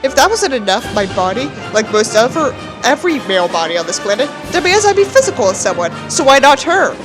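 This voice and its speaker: A pompous, nihilistic teenage boy reading out his journal, performed by a woman. The voice is high and swoops theatrically, full of contempt and self-importance. Soft music runs underneath. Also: big pitch swings, fast talker. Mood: smug, detached.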